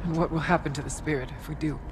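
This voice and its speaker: A woman's voice: deep voice, steady tone, very fast, background noise, natural voice. A woman playing a devout, earnest shaman in a video game, speaking with reverent, pleading devotion to a spirit. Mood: reverent, anxious. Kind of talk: video game cutscene acting.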